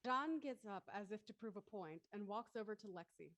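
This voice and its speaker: A woman narrates a scripted story in a mid-pitched voice. The sound is dry and close. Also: animated, normal speed, a bit bright, no echo.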